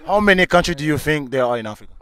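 Fast, teasing street interviewer man. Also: fairly high pitch, expressive, very noisy. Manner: teasing, playful, repeats the question quickly. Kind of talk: street vox pop, quizzing passers-by. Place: busy city shopping street outdoors. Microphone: handheld mic held out to passers-by.

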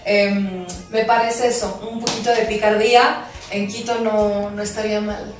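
A woman's voice: medium pitch, little pitch movement, normal speed, soft background music. A woman in her thirties from Ecuador, an actress talking in an interview in an enthusiastic, playful way. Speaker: musical theatre actress and producer. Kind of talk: casual interview chat. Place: office room with glass wall, seated on chairs. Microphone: far camera mic. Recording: very echoey room from far off.

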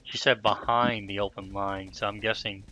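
A man's voice: on the low side, level tone, normal speed, pushed and bright. A man chatting casually with friends while playing a game, thinking aloud as he goes. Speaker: gamer. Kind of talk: casual gaming chat.

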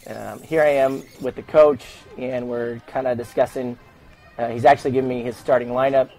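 A man's voice: mid-range voice, fairly even intonation, normal speed, warm tone, faint music behind. A middle-aged man with an American accent, telling stories and explaining his work conversationally in an interview as an announcer. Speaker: sports public address announcer being interviewed. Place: open indoor lobby studio at a desk.

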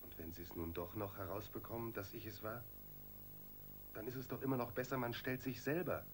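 A man's voice: on the low side, fairly even intonation, fairly quick, a little hiss or hum, natural voice. An actor playing a worried, guilt-ridden man who fears discovery in a radio crime play. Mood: anxious.

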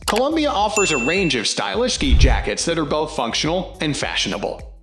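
High, lively-voiced male narrator. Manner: formal, evenly read script. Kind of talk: scripted product-comparison narration. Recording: roomy echo. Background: faint background music.

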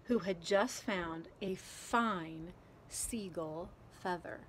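A woman reading a children's picture book aloud outdoors in a gentle, deliberate way, pausing between phrases. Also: lowish voice. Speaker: story reader. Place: outdoors, garden. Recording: clear outdoor background noise.

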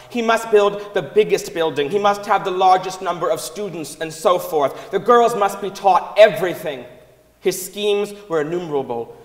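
A man with a quite high voice gives a dramatic reading of old texts on stage. The sound is echoey, with the long reverb of a concert hall. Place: large concert hall stage before an audience.

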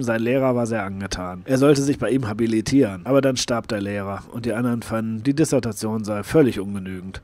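A man's voice: mid-range voice, a little mellow, no room sound. A man reading a first-person literary narrative aloud, expressively and with emphatic delivery. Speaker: audiobook reader.

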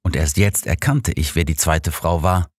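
A man's voice: sits low, normal speed, breathy edge, dry recording. A man narrating a scripted first-person story as an audiobook reader, close on a studio microphone.

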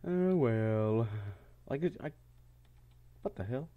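A man casually commentating a game, congested, laughing often and yelling when he fails. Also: medium pitch, lively intonation, takes it slowly, clean tone, phone-quality sound. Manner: laughs often, yells at failures. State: congested, stuffy-sounding. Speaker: gameplay commentator. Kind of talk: casual let's-play commentary.

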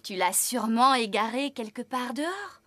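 A woman's voice: on the high side, lively intonation, moderate pace, pushed and bright. A woman voicing a practical, slightly bossy young farmer in a children's cartoon, direct and instructing. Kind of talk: children's cartoon dub acting.

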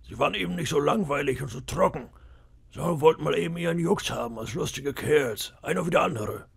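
A man's voice: a little high, a little fast, gravelly, husky, pitched above the performer's own voice, heavily acted. A man voicing a cunning old pirate cook in an audiobook, wheedling and boastful with flashes of menace. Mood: mocking. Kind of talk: audiobook character voice.

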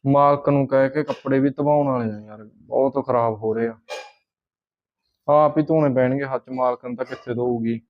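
A man's voice: mid-range voice, steady tone, normal speed, narrow, tinny audio, close to an everyday voice. A man acting a humble, hesitant, deferential household servant in a short film, with a Punjabi accent. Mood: grumbling.

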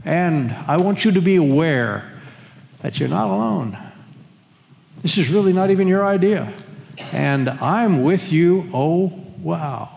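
A man's voice: fairly high pitch, gravelly. Older male pastor preaching in a reverberant church. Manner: earnest, repeats words and phrases, leads congregation reading. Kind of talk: sermon. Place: church sanctuary. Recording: long hall reverb in a church.

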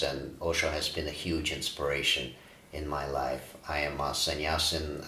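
A man in his forties from Ukraine, a breathwork teacher, answering interview questions in a calm, reflective way with frequent fillers. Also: extremely low pitch. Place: bright studio room, indoors. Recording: roomy studio echo.

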